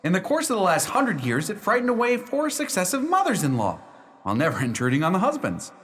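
Radio play: male actor as proud, emphatic young lawyer, higher-pitched, very expressive, reverberant. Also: fast, full character voice. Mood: wry. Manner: emphatic, storytelling. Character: proud half-Scottish, half-Yankee young male lawyer recounting his haunting. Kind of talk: theatrical radio play monologue. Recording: reverberant hall sound.

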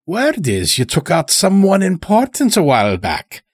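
A man voicing a stout, sly old farmer in an audiobook story, with a folksy drawl and unhurried, dragged-out words. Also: high voice, wildly swooping pitch, dry recording, voice pushed up, exaggerated voice. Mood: knowing, drawling.

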